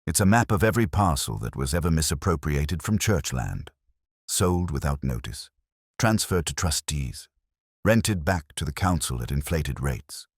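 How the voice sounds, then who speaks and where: Guy doing a measured police inspector in a detective story, really low voice, dry sound. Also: big pitch swings, slightly rough, no echo, heavily acted. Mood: measured, incisive. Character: courteous, quietly incisive male police inspector.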